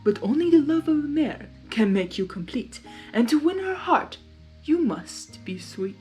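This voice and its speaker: A woman voicing a wise, cryptic female zebra seer in a storybook reading, measured and knowing. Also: sits high, very animated, dark tone, soft background music, pitched above the performer's own voice. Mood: mystical. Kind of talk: dramatized fanfiction storytelling.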